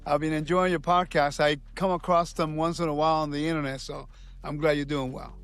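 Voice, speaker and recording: A man's voice: a little high, little pitch movement, brisk, a bit bright, clear, resonant tone, faint music behind. A man in his fifties from Puerto Rico, a podcast guest earnestly telling his life story with many restarts and fillers.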